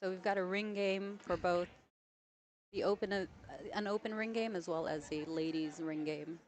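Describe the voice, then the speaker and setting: A woman with a slightly low voice hosts live pool coverage. She talks in a friendly, casual way, explains the rules clearly and laughs easily with her co-commentator. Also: steady tone, moderate pace.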